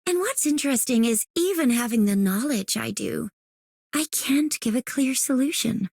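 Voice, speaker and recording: A woman voicing a composed, cryptic scholarly woman in a game, speaking in a measured, formal way. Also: high voice, lively intonation, vocal fry, a little mellow, breathy edge, no echo, clean studio sound, full character voice. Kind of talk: game character voice line.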